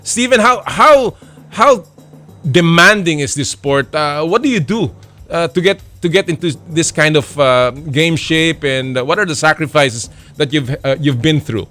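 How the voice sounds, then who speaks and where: A man with a Filipino accent hosting a sports show, asking questions in a mix of English and Tagalog, halting with many fillers and restarts. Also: fairly high pitch, animated, pushed and bright, faint music behind. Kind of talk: casual interview, mixing English and Tagalog.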